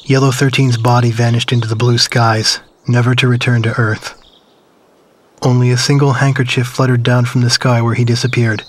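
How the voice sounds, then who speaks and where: A man's voice: mid-range voice, little pitch movement, slight background noise, close to an everyday voice. An actor as a restrained, wistful male war narrator. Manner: measured, reflective, sombre. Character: wistful man recalling his wartime youth under occupation. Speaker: story narrator. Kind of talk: scripted narration, reflective memoir. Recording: loud music under voice. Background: music.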